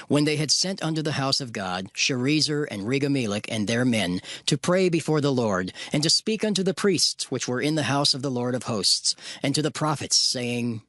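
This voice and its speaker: A man's voice: medium pitch, fairly bright tone, no echo. Dry-sounding formal male scripture narrator.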